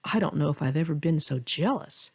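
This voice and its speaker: Husky, lively woman reading memoir. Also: low voice, brisk. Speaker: author reading a memoir chapter. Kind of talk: memoir narration, conversational read-aloud. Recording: dull, lo-fi home recording.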